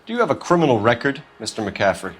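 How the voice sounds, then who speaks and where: A man's voice: medium pitch, very animated, fast talker, faint music behind, lightly acted. An actor playing an earnest police homicide detective in a 1940s crime game, formal and pressing in his questioning. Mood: probing.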